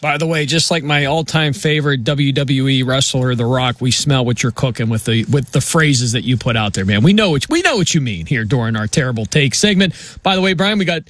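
A man's voice: medium pitch, brisk, a bit bright, no echo. A man hosting a sports radio show, talking in an upbeat, casual way and laughing easily. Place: radio studio.

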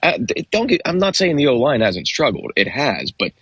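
A man's voice: fairly high pitch, big pitch swings, moderate pace, a bit bright, husky, dry recording, muffled recording. A man co-hosting a sports radio show, talking casually and hesitantly with many fillers and restarts.

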